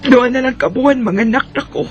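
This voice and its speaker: A woman acting a gentle, self-sacrificing young mother in a Bisaya radio drama, with a hesitant, plaintive delivery and Filipino accent. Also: high voice, a little fast, faint music behind, lightly acted. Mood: tearful, resigned.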